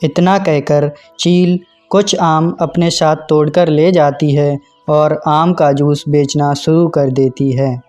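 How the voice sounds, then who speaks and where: A man's voice: on the high side, fairly even intonation, slow, few pauses, darkish tone, soft background music. A man narrating a scripted children's cartoon story.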